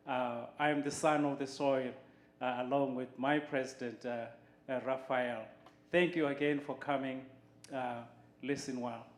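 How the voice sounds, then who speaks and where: A man from Zimbabwe addresses a church gathering in a roomy hall, his middle-pitched voice echoing off the walls. He talks slowly and earnestly, with frequent small pauses as he finds his words. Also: fairly even intonation. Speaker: board member of a water charity. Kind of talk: short welcome speech to a church audience.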